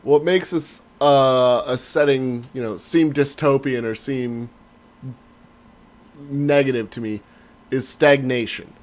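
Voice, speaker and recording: A man's voice: medium pitch, steady tone, slow pace. Blunt, emphatic man podcaster. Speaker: podcast co-host. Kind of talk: casual opinionated discussion.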